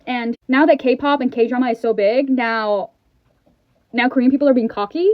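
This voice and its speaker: High-voiced American woman, tinny phone-like audio. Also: normal speed, no echo. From California, USA. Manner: incredulous, emphatic, repetitive. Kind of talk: casual direct-to-camera reaction.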